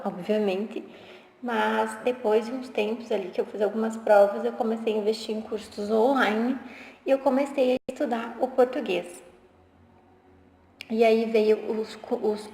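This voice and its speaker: A woman's voice: a little high, warm tone, slight background noise. A woman in her twenties, a geography teacher, telling in a chatty way how she learned the language for exams. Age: 20s. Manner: conversational, with restarts and filler words. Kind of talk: personal story pitching a study method. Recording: roomy echo with long reverb.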